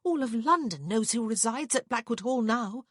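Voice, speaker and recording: A woman voicing an anxious, proper young English gentlewoman in an audiobook, with breathless, urgent, emphatic delivery. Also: a little high, animated, moderate pace, breathy edge, dry recording, clean studio sound. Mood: urgent, hushed. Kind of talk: audiobook character dialogue.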